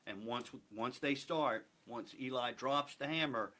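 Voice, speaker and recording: A man's voice: mid-range voice, measured pace, sharp tone, slight background noise. A man speaking in an earnest, emphatic and persuasive way, as a stage performer promoting a show in a group interview. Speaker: stage play cast member promoting the show.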